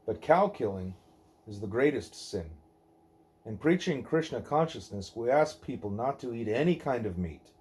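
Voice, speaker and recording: Male reader, mid-pitched and very mellow, deliberate scripted narration of a spiritual dialogue. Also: expressive, slight background noise. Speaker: reader of a devotional book; parent reading aloud at a child's bedtime.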